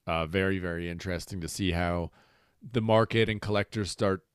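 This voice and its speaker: A man's voice: low voice, fairly even intonation, a little fast, clear, resonant tone, no echo, clean studio sound. A man hosting a casual interview, chatting informally and with enthusiasm. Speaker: interviewer, host.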